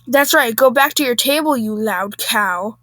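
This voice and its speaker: A woman's voice: a little high, a little slow, a bit bright, no echo. A woman voicing a mischievous man in a story, scornful and emphatic as he taunts another diner with mock anger.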